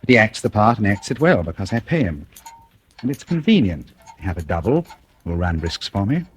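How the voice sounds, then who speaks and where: A noisy vintage radio thriller recording carries a low, suave voice. He is a cunning gentleman who speaks in measured, ironically polite phrases, mocking and coolly menacing throughout. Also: big pitch swings. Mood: sardonic, composed. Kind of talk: 1940s radio thriller acting.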